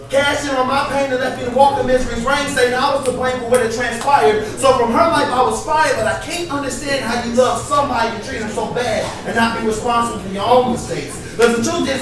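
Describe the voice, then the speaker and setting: A spoken word poet performing live, with an emphatic, impassioned, rhythmic delivery. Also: high voice. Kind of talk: live performance poetry with sung passages. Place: live poetry event before an audience. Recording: echoey live venue, distant mic, audience noise.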